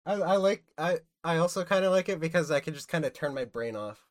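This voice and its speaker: A woman talking loosely over a game with friends, cursing and laughing as she goes. Her voice sits a little low but leaps around a lot in pitch. She often restarts her sentences mid-thought. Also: animated, brisk. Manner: swearing, laughing, rambling restarts. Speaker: streamer. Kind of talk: casual gaming chat with friends.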